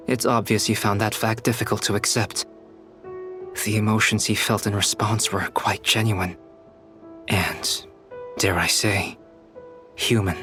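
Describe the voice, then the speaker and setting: A man plays a cool, analytical game scholar with a fairly low voice. He speaks in a measured, formal and detached way, with music clearly behind him. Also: natural voice. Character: composed, analytical young male scholar, cool and dry. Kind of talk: video game voice acting.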